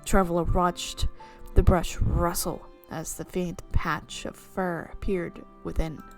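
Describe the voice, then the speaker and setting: Expressive woman reading a game aloud. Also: lowish voice, slow, soft background music. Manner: expressive reading with playful reactions. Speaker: game streamer. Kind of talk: reading a visual novel aloud.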